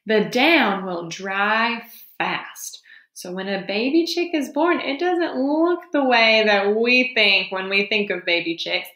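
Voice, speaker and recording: Lady teacher reading a kids book out loud, really singsong up and down, kinda echoey room. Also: on the high side, measured pace, few pauses. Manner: expressive, questioning, explains simply as if to young children. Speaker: teacher reading a nonfiction book aloud to young children. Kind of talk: interactive children's read-aloud lesson. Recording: roomy, echoing sound.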